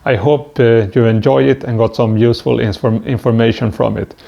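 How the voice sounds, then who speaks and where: Swedish-accented man, gravelly and mellow. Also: mid-range voice, fairly even intonation, fairly quick. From Sweden. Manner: hesitant, stumbling with frequent "uh" fillers. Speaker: vlogger. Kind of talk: self-help advice monologue.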